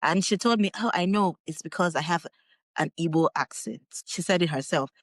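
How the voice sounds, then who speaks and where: A young Nigerian woman, a guest on an interview podcast, telling her story in a relaxed, chatty way and laughing often. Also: fairly low pitch, normal speed, dry recording. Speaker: guest, English teacher in Japan.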